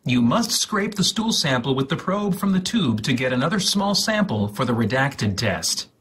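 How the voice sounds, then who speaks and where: A man reading an instruction guide aloud in a mock-serious, theatrical, deliberate way, with a husky voice. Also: medium pitch, big pitch swings, fairly bright tone. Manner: theatrical, deliberate, repeats words for emphasis.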